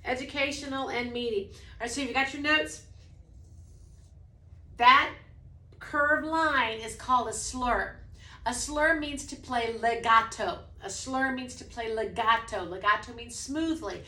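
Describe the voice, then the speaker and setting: Brief: woman music teacher, high bright voice, casual, room echo. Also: a little slow. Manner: demonstrates by singing snippets, then speaks casually; says she has a low voice. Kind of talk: explaining a preschool music lesson.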